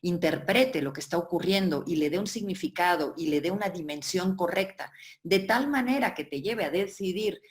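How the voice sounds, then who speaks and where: A woman's voice: lowish voice, lively intonation, normal speed. A woman from Barcelona, giving a webinar lecture as a workshop facilitator, explaining at length and often checking in with her listeners. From Barcelona, Spain. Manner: explanatory, with frequent check-ins and filler "eh".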